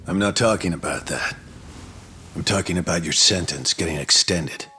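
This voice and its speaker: A man's voice: sits low, moderate pace, background noise, natural voice. A man playing a stern but caring prison guard in a video game drama, admonishing and matter-of-fact. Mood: weary reproach.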